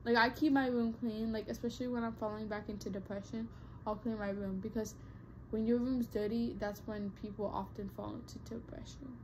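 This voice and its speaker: A woman's voice: on the high side, little pitch movement, fairly quick, hardly any pauses, darkish tone, slight background noise, low-quality recording. A young woman vlogger chatting casually to her viewers, rambling with lots of fillers and restarts. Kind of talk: casual talk to camera.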